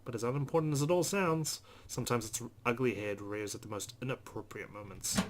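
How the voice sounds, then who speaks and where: A man's voice: medium pitch, fairly quick. A man hosting a let's play, reading visual novel narration aloud expressively with casual asides. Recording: faint background noise.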